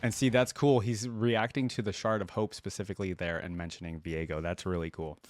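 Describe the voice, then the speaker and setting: A man's voice: low voice, fairly even intonation, brisk, faint music behind. A man giving casual commentary as he reads aloud and reacts, hosting a game reaction video.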